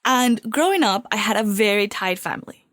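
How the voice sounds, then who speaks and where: A woman's voice: mid-range voice, lively intonation, brisk, fairly bright tone, dry recording, clean studio sound. A woman from Venezuela, hosting a solo podcast in an upbeat, confiding way while getting over a cold. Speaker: podcast host.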